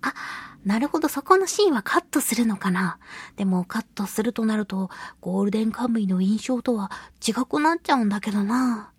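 A woman's voice: a little high, animated, fairly quick, breathy edge, dry recording. A woman hosting a casual solo radio show, chatting expressively and giggly as she reads and responds to listener mail. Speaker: radio show host, voice actress.

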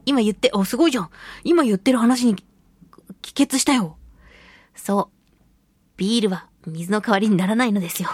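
A woman hosting a casual solo radio talk show, reading listener mail in a playful, giggly, cutesy way with a husky, breathy voice. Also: mid-range voice, normal speed, pauses often, darkish tone, no echo. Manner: playful, giggly, slips into cutesy exclamations and quirky old-man phrasing. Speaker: radio show host, voice actress.

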